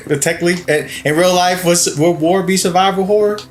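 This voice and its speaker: A young man chatting over gameplay, teasing and excitable. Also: high-pitched, moderate pace. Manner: teasing, excitable, laughs often. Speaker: gameplay co-host. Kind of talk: casual gaming chat. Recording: game music underneath.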